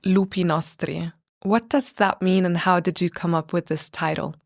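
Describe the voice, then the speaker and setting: A woman hosting a podcast interview, asking measured, polite questions. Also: on the low side, steady tone, gravelly, no room sound, clean studio sound. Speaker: podcast host.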